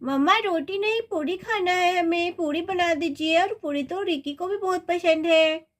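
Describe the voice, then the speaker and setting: A woman's voice: very high-pitched, normal speed, a bit bright. A woman voicing a mischievous, whiny young girl in a children's cartoon story. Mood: playful.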